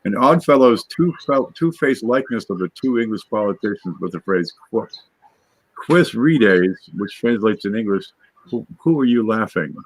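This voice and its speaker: Low-pitched man hosting a chatty Q&A. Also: expressive, normal speed, no echo, low-quality recording. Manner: chatty and explanatory, with fillers and restarts. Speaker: show host. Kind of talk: casual panel Q&A, reading viewer questions aloud.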